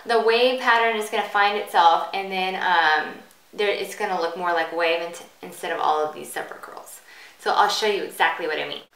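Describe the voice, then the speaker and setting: A woman with a bright voice hosts a hairstyling tutorial in an informal, chatty way. She explains each step casually while demonstrating. The room is noticeably echoey and she sits a little off the mic. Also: on the low side, normal speed, far from the mic. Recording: bright roomy echo, off the mic.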